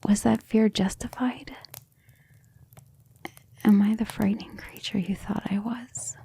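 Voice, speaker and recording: A woman playing a gentle, earnest, devoted female vampire in an intimate ASMR roleplay, speaking tenderly and a little hesitantly. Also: mid-range voice, steady tone, unhurried, a little hiss or hum. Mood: troubled, doubting. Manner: soft, hesitant, tender, often breathy near-whisper.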